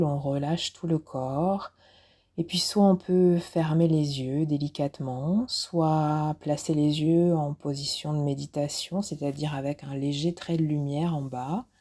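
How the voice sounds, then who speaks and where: A woman instructor leading a guided relaxation meditation in a soft, soothing, unhurried way with pauses, with music behind her. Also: low-pitched, husky. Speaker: yoga nidra instructor.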